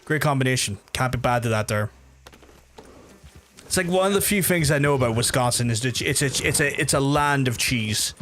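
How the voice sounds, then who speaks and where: A man's voice: mid-range voice, fairly quick, a bit bright, a little hiss or hum. Rambling Belfast man streaming a game. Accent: softened Belfast. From Belfast, Northern Ireland. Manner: informal, sweary, rambling. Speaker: live streamer. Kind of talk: casual gameplay commentary and chat with viewers.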